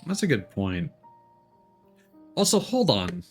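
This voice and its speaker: A man casually commenting on a game live stream, thinking aloud in a halting way. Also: mid-range voice, huge pitch swings, soft background music.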